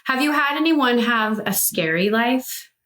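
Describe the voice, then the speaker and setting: A woman's voice: on the high side, animated, a little slow, fairly bright tone. Woman hosting, affirming interviewer. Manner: frequent short affirming replies. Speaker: medium and host. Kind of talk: casual interview chat.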